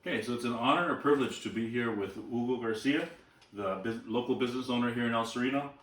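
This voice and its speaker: Male host, quick and eager, mid pitch, distant roomy sound. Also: fairly bright tone. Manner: quick, eager, repeats himself when asking. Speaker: interviewer. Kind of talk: casual interview.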